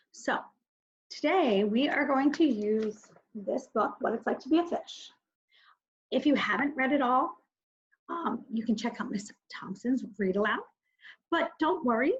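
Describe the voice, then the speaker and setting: A woman's voice: fairly high pitch, dull audio. A woman kindergarten teacher giving a recorded reading lesson, speaking simply and instructively straight to young children, with questions and thinking aloud.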